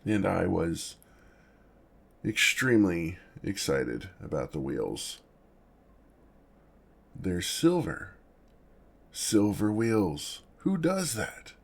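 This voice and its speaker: A man playing an awkward, apologetic, gentle male dragon in an ASMR roleplay, with a gravelly, husky voice and a halting, flustered manner. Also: low voice, sing-song, full character voice. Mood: excited, defensive. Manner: halting, flustered, self-correcting, measured.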